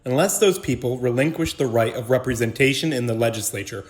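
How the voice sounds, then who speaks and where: A man's voice: mid-range voice, fairly quick, a crowd in the background. A man delivering a formal reading of a historical document as a community reader.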